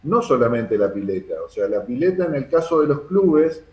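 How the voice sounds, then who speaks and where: A man with an Argentine accent giving earnest, halting explanations as an interview guest. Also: mid-range voice, sing-song, a little mellow, roomy sound, lo-fi sound. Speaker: sports club secretary, interview guest.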